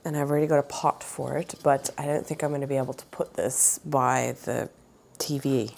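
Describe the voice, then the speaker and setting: Low-voiced nasal woman chatting casually. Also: fairly even intonation, a little fast, gravelly, darkish tone, slight background noise. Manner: chatty, rambling with fillers. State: recovering from a cold. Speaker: plant vlogger, mother. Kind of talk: casual chat.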